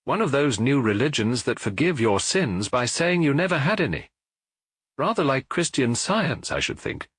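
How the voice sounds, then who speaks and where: A man's voice: medium pitch, sing-song, normal speed, fairly bright tone, husky, no room sound, voice pushed up. A man voicing a tall private detective in an audiobook story, amused, careless and lightly conversational. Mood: amused, breezy.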